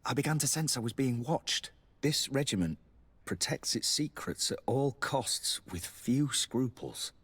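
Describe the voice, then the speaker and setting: A husky, guarded army lieutenant. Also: male voice, mid-range voice, lively intonation, a bit bright, exaggerated voice. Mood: grave, confiding. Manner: grave, secretive, measured. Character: guarded, wary male military lieutenant instructor. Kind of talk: video game character acting.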